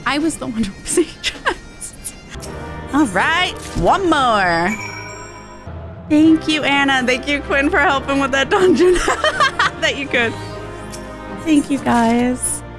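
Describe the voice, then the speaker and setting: A woman's voice: sits high, animated, slow, long pauses. A woman streaming a video game, chatting casually with viewers and reading game text aloud. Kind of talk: livestream gameplay chat and reading game dialogue aloud. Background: game music.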